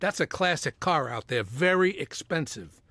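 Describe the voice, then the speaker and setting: A man's voice: on the high side, animated, normal speed, pushed and bright, dry recording, heavily acted. A man playing a bossy retired mobster, precise, sardonic and menacingly polite, in a comedy audio drama. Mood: guarded. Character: bossy retired Italian-American mobster, exasperated and formal.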